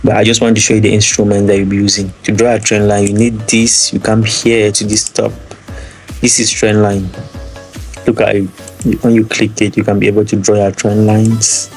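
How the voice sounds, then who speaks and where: Nigerian guy rambling through a tutorial, real monotone, repeats himself a lot. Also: on the low side, moderate pace, faint music behind. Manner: rambling, repeats words, chuckles. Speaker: trading tutor. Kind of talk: casual screen-share tutorial.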